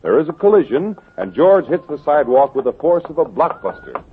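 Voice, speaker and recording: Formal announcer on a tinny old radio. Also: male voice, fairly high pitch, very animated, music in the background. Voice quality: formal, measured. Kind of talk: scripted radio announcing and narration.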